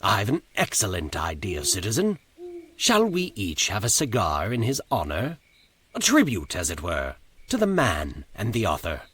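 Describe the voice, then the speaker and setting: A man voicing a calm, commanding, mysterious stranger in a horror story, speaking formally and with faint amusement. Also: fairly low pitch, normal speed, husky, full character voice. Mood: smooth, indulgent. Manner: unruffled, formal, old-fashioned, faintly amused. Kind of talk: dramatised horror short story.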